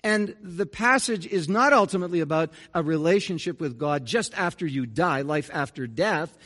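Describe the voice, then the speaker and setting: A man's voice: on the high side, expressive, moderate pace, a bit bright. A middle-aged man, a pastor delivering an earnest, conversational sermon with folksy asides. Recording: reverberant church hall.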